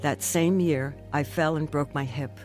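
An actress playing an earnest older woman narrating her life story in a measured radio drama style. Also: low voice, steady tone, darkish tone, soft background music. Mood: earnest, reflective.

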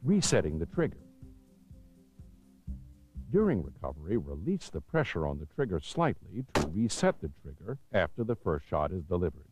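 An American man reading scripted instructional narration for a training film, measured, authoritative and clearly enunciated. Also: low-pitched, big pitch swings, darkish tone, soft background music. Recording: dull, lo-fi 1990s videotape soundtrack.

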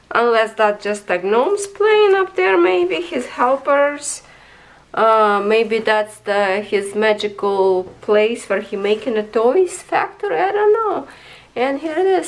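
Slow, high-voiced hoarse woman reviewer. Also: poor audio. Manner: chatty, enthusiastic, talks to viewers as friends. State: hoarse, losing her voice. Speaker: craft reviewer. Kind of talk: casual unboxing review. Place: indoors at a tabletop.

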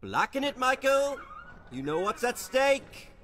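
A vain young wizard, high and wildly swooping in pitch. He draws his words out with petulant, melodramatic flair, in a radio play with some noise behind him. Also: sing-song, measured pace, bright, forceful tone, exaggerated voice. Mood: teasing, brisk. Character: vain, theatrical young male wizard, prone to tantrums. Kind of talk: radio drama acting.

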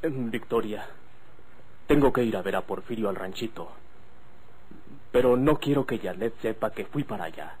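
An actor playing a secretive, headstrong young gentleman with a Mexican accent, in a noisy old radio drama. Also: lowish voice, animated, normal speed, pauses often, natural voice. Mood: tense, secretive. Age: young adult. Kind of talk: golden-age radio drama acting.

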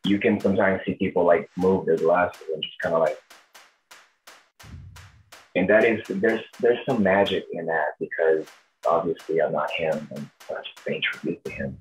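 A man's voice: deep voice, normal speed, slight background noise, low-quality recording. A man in his thirties from Brazil with a Brazilian accent, giving informal interview answers as a performer. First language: Portuguese. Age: 30s. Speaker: tribute show performer, interviewee.

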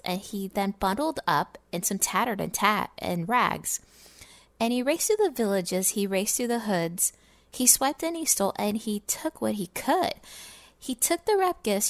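A woman's voice: a little high, no echo. Woman reading a rhyming storybook, dry sound. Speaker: gaming video creator reading a story. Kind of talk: dramatic storybook reading in verse.

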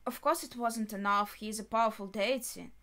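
A young adult woman giving spontaneous reaction commentary, thinking aloud in short, halting remarks. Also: mid-range voice, a bit bright. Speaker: anime reaction vlogger. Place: small bedroom, indoors.

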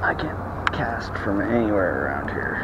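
A man with an American accent, talking casually to himself and the fish while catching bass at a weedy pond bank, in an offhand, self-mocking way. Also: very animated, normal speed. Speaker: fishing vlogger. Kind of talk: casual running commentary while fishing. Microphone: chest or head-mounted action camera. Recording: muffled action-camera audio with outdoor noise.

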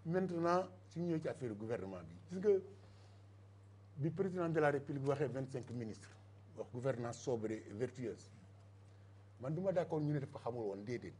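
A man's voice: medium pitch, lively intonation, brisk, a little mellow, a little hiss or hum. An older Senegalese man speaking emphatically as a guest in a televised political debate, on a lapel mic in a studio. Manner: emphatic, mixing Wolof and French. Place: TV studio set.

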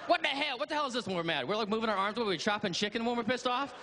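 A man born in Vietnam and raised in America, doing an energetic stand-up set on a live stage with a handheld mic. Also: extremely high pitch. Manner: energetic, fast riffing with laughs. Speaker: stand-up comedian. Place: comedy stage before a live audience. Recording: loud crowd and room noise.

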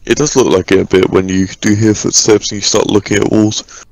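A man's voice: lowish voice, little pitch movement, fairly quick, creaky, a little mellow, dry recording, low-quality recording. A man chatting casually while gaming, teasing and swearing as he goes. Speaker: gamer in group voice chat. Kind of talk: casual joking game chat.

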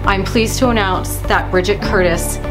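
A woman's voice: mid-range voice, normal speed. Woman announcing a school award over music.

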